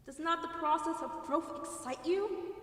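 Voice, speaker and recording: A woman's voice: extremely high pitch, normal speed, a bit bright. A woman playing a grave, philosophical demon familiar who speaks in formal, archaic phrases in an audio drama. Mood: gently reproachful. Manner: formal, lofty, archaic wording, weary sighs. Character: grave, philosophical demon familiar in human guise, yearning to learn art. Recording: distant voice in long echoing reverb.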